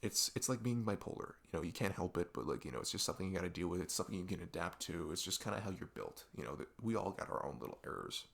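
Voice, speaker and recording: A young man playing a best friend who opens up in an intimate ASMR deep talk, rambling and halting, earnest and reflective. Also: lively intonation, creaky, a bit bright, exaggerated voice. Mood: earnest, musing. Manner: rambling, halting, reflective, frequent "like" and "you know". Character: earnest male best friend opening up late at night. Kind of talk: intimate ASMR audio roleplay, unscripted-sounding deep talk.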